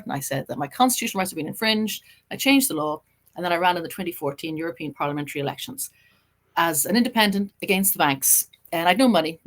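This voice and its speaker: A middle-aged Irish woman, a journalist and writer, chatting as a podcast guest and telling her story in an animated way. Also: lowish voice. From Ireland. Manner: animated storytelling, quick and lively. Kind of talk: casual interview chat.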